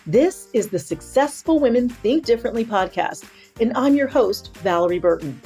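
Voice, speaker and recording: A woman's voice: fairly high pitch, animated, normal speed, mellow, faint music behind. A woman hosting a motivational podcast as a personal coach, talking in a candid, earnest and encouraging way, slightly stuffy from sinus pressure.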